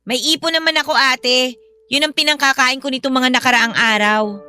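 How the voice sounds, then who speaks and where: Woman acting a bright, high, defiant Filipina younger sister. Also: steady tone, moderate pace, clear, resonant tone, dry recording. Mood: guarded, sulky. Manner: guarded, stubborn talking back. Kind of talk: Filipino radio-style drama dialogue.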